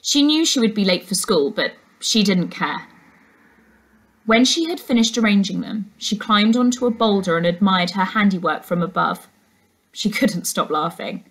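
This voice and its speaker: A young woman reading a children's novel aloud as a teacher, in an expressive storytelling style. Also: medium pitch, normal speed, poor audio. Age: young adult. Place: furnished indoor room.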